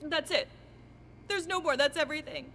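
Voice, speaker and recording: A woman playing a rattled fast-food cashier in a comedy sketch, her polite service patter fragile and close to tears. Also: high-pitched, sharp tone, a little hiss or hum. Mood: resigned. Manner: scripted customer-service politeness cracking under panic.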